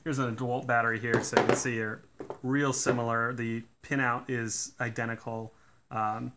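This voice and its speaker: A man doing a casual hands-on teardown at a workbench, talking in a relaxed way with lots of "uh" fillers. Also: mid-range voice, normal speed, very noisy. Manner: relaxed, many "uh" fillers, technical detail. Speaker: DIY tool reviewer. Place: indoors at a workbench.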